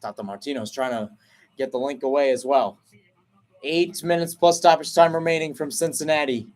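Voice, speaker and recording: A man doing radio play-by-play for a soccer match, talking steadily through the passing and breaking off to explain tactics, then jumping up in pitch when a chance opens. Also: fairly even intonation, a little mellow. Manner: brisk flowing call, rising sharply on chances; self-correcting, explains tactics between plays. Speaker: play-by-play commentator.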